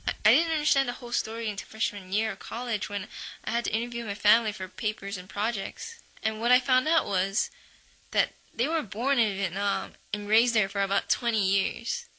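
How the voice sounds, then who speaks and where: A woman's voice: mid-range voice, fairly quick, pushed and bright, poor audio. A young woman narrating a personal documentary in a reflective voice-over.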